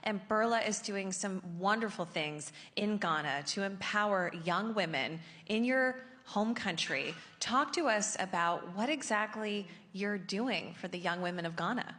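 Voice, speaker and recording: Woman hosting a panel, really bright forceful voice, chatty, lots of hall reverb. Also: medium pitch, unhurried, few pauses. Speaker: panel moderator. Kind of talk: conversational stage moderating. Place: large conference hall stage. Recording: echoey hall with long reverb.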